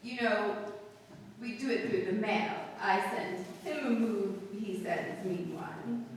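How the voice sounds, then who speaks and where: Heavy echo and faint noise on a distant mic. A woman acts an elderly female shopkeeper in a radio play, slow-talking, folksy, blunt and full of opinions. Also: mid-range voice, close to an everyday voice. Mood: chatty, folksy. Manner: folksy, plain-spoken, unhurried. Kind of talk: old-time radio drama acting. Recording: very echoey hall, distant mic, noisy.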